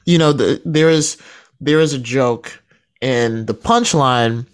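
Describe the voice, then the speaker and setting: A man speaks as a radio guest in casual, reflective discussion, haltingly repeating words as he works out his thoughts. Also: mid-range voice, clean tone.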